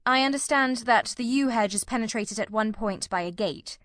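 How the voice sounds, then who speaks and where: A woman's voice: fairly high pitch, normal speed, fairly bright tone, no room sound, studio quality, close to an everyday voice. A woman voicing a keen, probing male detective in an audiobook, with a formal, incisive and inquisitive manner. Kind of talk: audiobook character reading.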